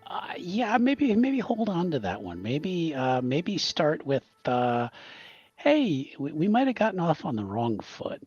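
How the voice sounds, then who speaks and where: A player voicing a small, gentle, wise twenty-two-year-old with a grandfatherly air, measured and hesitant, in a tabletop roleplay. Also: medium pitch, wildly swooping pitch, breathy edge, dry recording, dull audio, heavily acted. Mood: gently advising. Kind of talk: improvised in-character roleplay.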